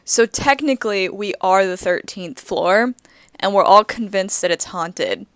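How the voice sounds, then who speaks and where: A woman's voice: medium pitch, a little slow, clear, resonant tone, no echo. A woman in her twenties co-hosting a casual podcast, chatty and giggly with plenty of "like" fillers and a groggy morning voice. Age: 20s. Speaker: podcast co-host. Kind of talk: casual chat.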